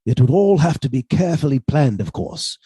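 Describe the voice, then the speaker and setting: A man playing a meek, middle-aged British botanist husband, submissive and quietly sly, in a radio suspense drama. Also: medium pitch, big pitch swings, fairly quick, breathy edge, no echo, studio quality. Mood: calculating, confiding.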